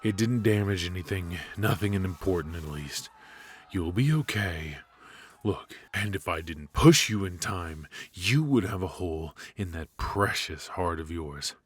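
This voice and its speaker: A man's voice: low voice, expressive, unhurried, slightly rough, full character voice. A man playing a cocky, ruthless and protective mafia boss in a noir ASMR roleplay, with an intimate, teasing delivery. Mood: firm, reassuring. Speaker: voice actor.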